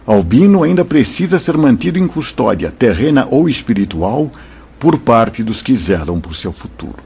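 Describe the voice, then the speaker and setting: An actor playing a paternal, firm spirit mentor with a husky voice and formal oratory in a radio drama. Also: male voice, medium pitch, darkish tone, full character voice. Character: paternal, authoritative male spirit mentor, benevolent but firm. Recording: dull lo-fi sound.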